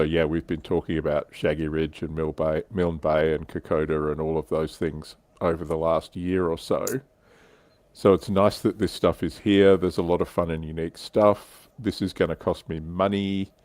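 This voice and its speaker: A man's voice: low-pitched, darkish tone, no room sound. A man, hosting a casual panel chat. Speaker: host.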